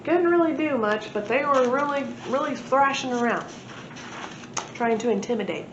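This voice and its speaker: A woman's voice: animated, normal speed. A woman storyteller at a library reading a children's book aloud expressively. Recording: echoey room, muffled, noisy low rumble.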